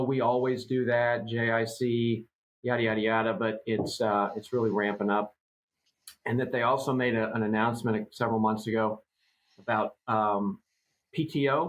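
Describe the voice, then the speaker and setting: A man's voice: on the low side, rough recording. A man in his fifties co-hosting a trade podcast, talking through news in a rambling, conversational way. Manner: rambling, conversational, many fillers. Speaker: co-host. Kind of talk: casual podcast chat.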